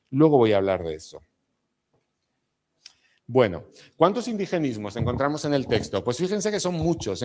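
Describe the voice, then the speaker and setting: A middle-aged man from Spain delivers an academic lecture in a voice of ordinary middle pitch. His accent is Spanish, softened by Argentine features picked up over years. He explains step by step, often pausing to check that his students follow. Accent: Spanish with some Argentine features. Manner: explanatory, with frequent tag questions to the audience.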